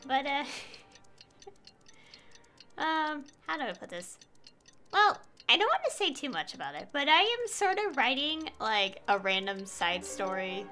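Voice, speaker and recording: High, bright-voiced woman streamer. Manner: halting, rambling, thinking aloud. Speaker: game streamer. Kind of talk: casual livestream commentary.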